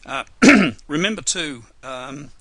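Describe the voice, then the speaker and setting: A man giving a live software tutorial webinar, hoarse and losing his voice, talking unhurriedly with many fillers and restarts. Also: fairly high pitch, animated, slow pace. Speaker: software trainer.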